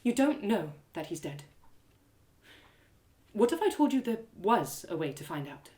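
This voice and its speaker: A woman voicing a calm, solemn female elf warrior in an audio drama, speaking in a measured way. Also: medium pitch, roomy sound. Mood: gentle, guarded.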